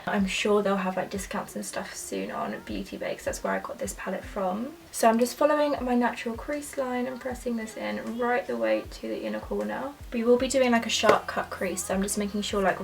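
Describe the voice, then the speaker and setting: A woman's voice: medium pitch, animated, brisk, creaky, hardly any pauses, recorded from a distance. A young woman chatting casually and enthusiastically through a makeup tutorial, full of "like" and "so". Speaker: beauty vlogger.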